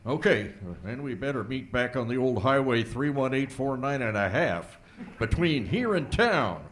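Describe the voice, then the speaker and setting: An actor playing a flustered, blustering small-town sheriff with a folksy manner in a comic radio play. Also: male voice, mid-range voice, lively intonation, moderate pace, a bit bright, slight background noise, close to an everyday voice. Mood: commanding. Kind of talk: comic radio play performed before an audience.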